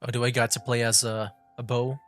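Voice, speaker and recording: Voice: mid-range voice, little pitch movement, brisk, faint music behind. Casual joking game streamer. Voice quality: casual, joking, reads dialogue aloud.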